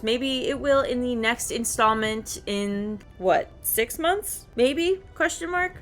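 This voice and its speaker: Casting: female gaming YouTuber, mid-pitched, loose conversational commentary, thinks out loud, music underneath. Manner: thinking aloud, self-questioning. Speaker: video game YouTuber. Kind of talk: casual rambling game commentary. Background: background music.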